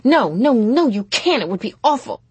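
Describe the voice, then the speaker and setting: A woman's voice: medium pitch, animated, normal speed, slightly rough, no room sound. A woman voicing a self-absorbed, melodramatic teenage girl in a storybook, emphatic and repetitive. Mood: anxious, indignant.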